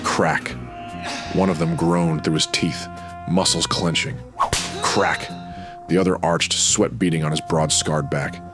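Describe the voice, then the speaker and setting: A man's voice: low-pitched, steady tone, slow pace, music in the background. A man narrating scripted supernatural noir in brooding, clipped dramatic phrases.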